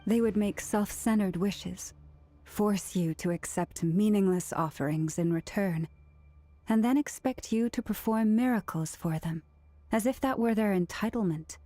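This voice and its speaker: An actress playing a gentle, earnest young woman who leads a moon faith, with a measured, solemn delivery in a video game. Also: mid-range voice, lightly acted. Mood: earnest, tender.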